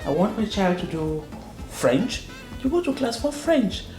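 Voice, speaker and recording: A woman's voice: medium pitch, sing-song, measured pace, warm tone. A woman who runs a school, from northwest Cameroon, answering interview questions earnestly and repeating words for emphasis. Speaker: school proprietor and educator. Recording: roomy echo with faint music.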